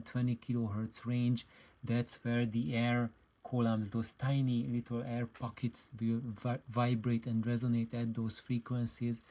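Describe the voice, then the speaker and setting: A man presenting an informal explainer, speaking haltingly and often repeating himself as he searches for words. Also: medium pitch, dark tone. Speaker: presenter of an audio DIY channel. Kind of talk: informal explanatory video talk.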